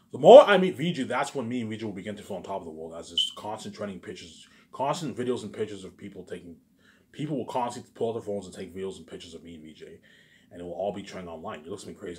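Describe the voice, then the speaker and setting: A man in a casual, rambling monologue, boastful and repetitive, with emphatic bursts that trail off into muttered tags. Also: on the low side. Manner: repetitive, keeps restating phrases and tagging sentences with catchphrases; boastful, self-aggrandizing.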